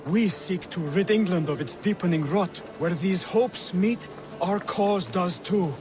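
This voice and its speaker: An actor playing an earnest, devout young male assassin mentor in a video game, speaking in measured, formal, instructive phrases. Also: sits high, music in the background. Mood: solemn, earnest. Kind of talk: dramatic video game acting.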